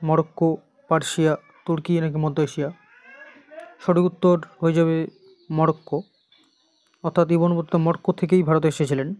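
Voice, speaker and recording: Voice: medium pitch, flat delivery, normal speed, long pauses, darkish tone. An exam coaching teacher with an Indian Bengali accent, reading out quiz questions and repeating the answers in an instructional way.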